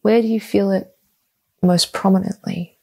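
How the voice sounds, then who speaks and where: A woman guides a meditation in a husky, slightly gravelly voice. She speaks very slowly and calmly, keeping an even, level tone and leaving long pauses between short, soothing instructions. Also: medium pitch.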